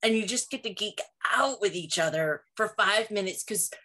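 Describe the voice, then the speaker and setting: A woman co-hosting a podcast, chatting casually and telling stories in an animated way. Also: medium pitch, normal speed. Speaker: podcast co-host and author. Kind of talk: casual chat.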